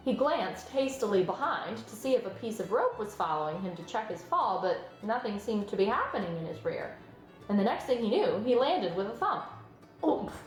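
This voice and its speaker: A woman's voice: medium pitch, sing-song, fairly quick. A woman reading a children's story aloud as scripted narration. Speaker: storybook reader. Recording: roomy sound, music behind. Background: music.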